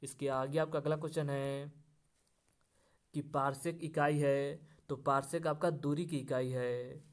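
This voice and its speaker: A man with a fairly high voice works through an exam lesson. His intonation stays flat as he reads each question and follows it with the answer in the same repeated pattern. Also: unhurried. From India. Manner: formulaic, repetitive question-then-answer delivery. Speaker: exam-prep teacher.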